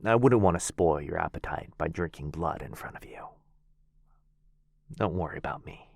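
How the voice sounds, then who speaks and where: A man playing a soft-spoken vampire lord. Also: deep voice, expressive, moderate pace, creaky, no echo, clean studio sound, heavily acted. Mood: gentle. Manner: soft-spoken, courtly and reassuring. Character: gentle, kind male vampire lord, centuries old. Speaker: voice actor. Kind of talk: ASMR boyfriend roleplay.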